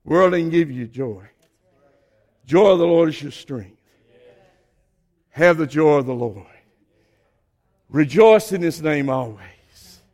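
Hoarse old preacher, slow drawn-out sermon, gets shouty, big hall echo. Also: male voice, a little high, lively intonation. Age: older. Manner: preaching with rising shouted emphasis.

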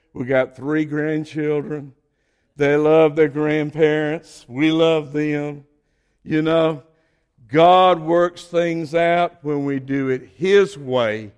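An older man preaching a sermon, his voice hoarse, building to shouted emphasis. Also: a little high, long reverb tail.

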